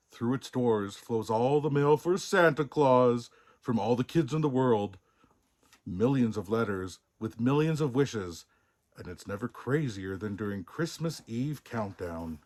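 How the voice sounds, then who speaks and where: A man reads a children's storybook with playful theatricality. His pitch swings in a lively way, and he takes his time, savouring the sound words. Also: unhurried. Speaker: storybook reader in a Santa persona. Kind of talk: expressive scripted children's story narration.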